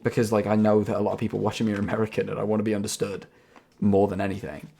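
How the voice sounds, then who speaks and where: A man with a low, gravelly voice hosts a livestream, talking in a loose, rambling way. He laughs easily and keeps the chat relaxed, with faint music playing behind him. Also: very fast. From United Kingdom. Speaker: streamer host.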